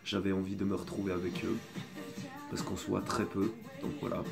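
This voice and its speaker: A man's voice: sits low, level tone, creaky. A man with a cold and a sore throat, talking in a hesitant, rambling way in a casual apologetic video, filmed on a phone. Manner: hesitant, rambling, many pauses. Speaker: video creator. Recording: background music playing.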